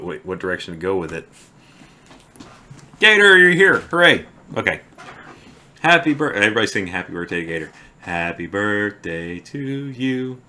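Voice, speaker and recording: Male streamer, low and bright-edged voice, halting casual chat at a desk microphone. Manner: halting, trails off into quiet mumbles. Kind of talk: slow, deliberate poetry reading.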